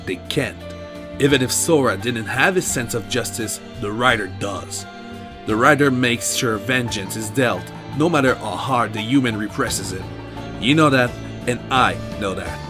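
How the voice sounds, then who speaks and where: A man playing a stoic, seasoned and calculating mentor in an audio drama, speaking in a measured way. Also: mid-range voice, natural voice. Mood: stern. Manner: measured, unemotional. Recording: music clearly under the voice.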